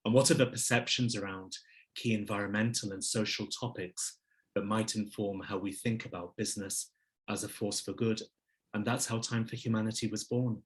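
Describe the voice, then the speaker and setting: Low-voiced middle-aged man telling his story. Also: steady tone, moderate pace. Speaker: podcast guest, business leader. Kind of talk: polished interview storytelling.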